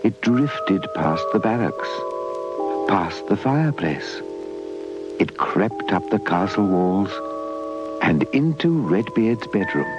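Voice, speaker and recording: A British man narrates a children's tale in a low, very animated voice. The recording is a dull old tape with loud music running behind him. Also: slow. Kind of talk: scripted children's storytelling.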